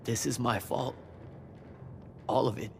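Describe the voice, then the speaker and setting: An actor playing an embittered, impulsive young battlemage hero in a video game, emotional and sardonic in a casual way. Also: male voice, mid-range voice, steady tone, takes it slowly, gravelly, soft background music. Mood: guilty. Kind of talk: cinematic game acting. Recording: noisy game battle and ambience.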